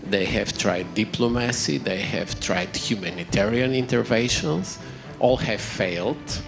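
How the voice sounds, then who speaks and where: A middle-aged man from Bulgaria asks a question from the floor. He speaks slowly and deliberately with a creaky, gravelly voice, and music plays clearly behind him. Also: lowish voice. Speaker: audience questioner, academic.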